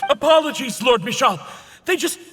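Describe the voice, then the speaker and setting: An actor playing a panicked, deferential soldier in a video game, frantic and apologetic. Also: male voice, extremely high pitch, animated, normal speed, sharp tone, full character voice. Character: panicked, deferential male soldier reporting to his lord.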